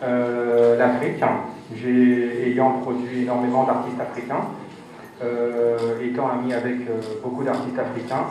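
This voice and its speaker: A man from Cameroon, a music producer, answering journalists' questions at a press conference in a steady voice with frequent hesitations. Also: mid-range voice, unhurried, a little hiss or hum. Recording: echoey hall from far off.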